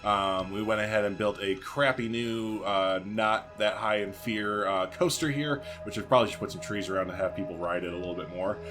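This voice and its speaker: Low-voiced man doing casual gaming commentary. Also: a little fast, few pauses, over music. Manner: chatty, thinking aloud, informal. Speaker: gaming video host. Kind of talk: casual let's-play commentary. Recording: faint background noise and game ambience.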